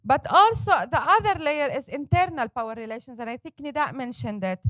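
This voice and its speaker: A woman's voice: high-pitched, animated, fairly quick, a bit bright, no room sound. A woman, speaking passionately and emphatically as a panelist and organizer at a forum. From Palestine. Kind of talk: panel talk.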